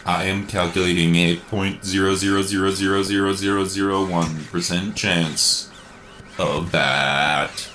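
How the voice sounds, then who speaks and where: A man playing a grief-stricken, self-blaming cyborg boy hero in a radio serial, with a halting, solemn, robotic delivery. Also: sits low, steady tone, bright, forceful tone, a little hiss or hum. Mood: bleak, resolute. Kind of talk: mock radio serial acting.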